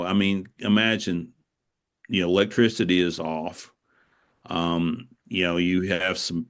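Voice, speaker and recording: A man being interviewed as an author, telling stories in a reflective, conversational way, earnest and halting, repeating phrases for emphasis. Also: low-pitched.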